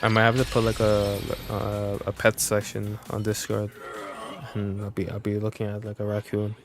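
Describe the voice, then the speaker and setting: A man streaming a game and chatting casually in a rambling, halting way that repeats words. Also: fairly low pitch, completely flat delivery. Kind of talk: casual livestream chat. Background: game music and voices.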